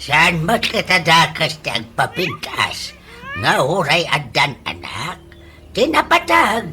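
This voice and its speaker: A woman plays a gruff Ilocano storekeeper, bright and forceful, drawing out blunt questions unhurriedly. The radio comedy delivery is scolding and animated, with faint music behind. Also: sits low, slow pace, full character voice.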